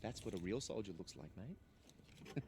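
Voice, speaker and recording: Brash young Aussie soldier, needling, lively pitch, crude, noisy surroundings. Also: male voice, unhurried. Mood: mocking. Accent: Australian. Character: cocky, foul-mouthed young male Australian soldier.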